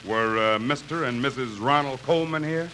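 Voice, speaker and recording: A man's voice: mid-range voice, normal speed, pushed and bright, lightly acted. An actor playing a helpful, curious friend, an easygoing straight man in 1950s radio comedy. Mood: friendly, curious. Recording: noisy vintage radio recording.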